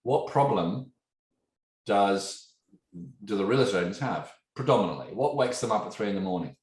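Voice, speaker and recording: Fifties English man, Aussie-tinged accent, lower pitch, lively storytelling guest in an echoey room. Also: normal speed. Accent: English, softened by years in Australia. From England. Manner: animated storyteller, poses questions to his listener. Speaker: guest, tech entrepreneur. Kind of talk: conversational podcast interview. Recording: roomy echo.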